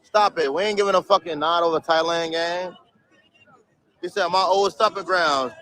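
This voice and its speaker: A young man with a high, bright, ringing voice talks loosely to his stream chat, full of slang and swearing. He reads viewer comments aloud and laughs them off while out on a city street, talking into a phone held at arm's length. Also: normal speed. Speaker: livestreamer. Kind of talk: casual livestream chat reading viewer comments.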